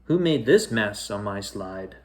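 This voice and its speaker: A man voicing an excitable, curious little girl from a children's storybook, with an earnest, exclaiming delivery. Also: lowish voice, measured pace, voice pushed down. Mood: indignant. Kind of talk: children's storybook character reading.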